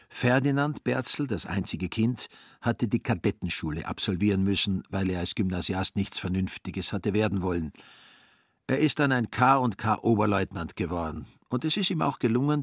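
Husky, low male storyteller. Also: dry recording, studio quality. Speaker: narrator. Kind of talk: scripted literary narration for a radio play.

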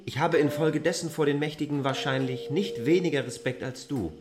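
An actor playing a candid, wry newly arrived land surveyor in a literary radio drama, speaking in a confiding manner. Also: male voice, fairly high pitch, brisk, music in the background, lightly acted.

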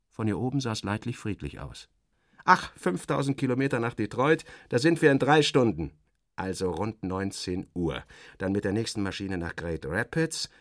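Casting: weary, wry journalist narrating a radio drama, theatrical and very animated, close dry sound. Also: male voice, fairly low pitch, no echo. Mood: brisk, relieved. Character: sardonic American male journalist, restless, weary, recounting his journey. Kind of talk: radio play first-person narration with acted dialogue.

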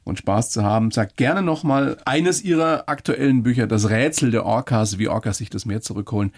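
Male host around sixty, gravelly, husky, friendly, laughs easily. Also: medium pitch, fairly quick. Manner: friendly, laughs easily, asks follow-ups. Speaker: radio interview host. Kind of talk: conversational interview.

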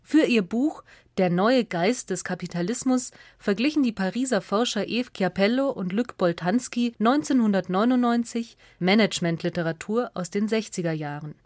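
A woman reads a scripted radio essay as narrator in a measured, articulate and factual way. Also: medium pitch, expressive, darkish tone, no room sound, clean studio sound.

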